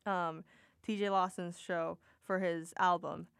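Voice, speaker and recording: A young woman from Puerto Rico with a deep alto voice, hosting a casual podcast chat and rambling eagerly with lots of "like" fillers. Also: level tone, slow, gravelly, a bit bright, clean tone, dry recording, studio quality. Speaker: podcast host.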